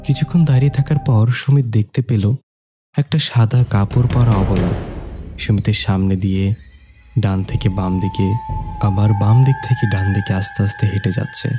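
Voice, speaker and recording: Casting: male actor as mischievous young narrator-character for animated ghost tale, lower register, prominent music bed. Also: brisk, close to an everyday voice. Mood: suspenseful. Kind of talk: scripted horror cartoon narration and dialogue.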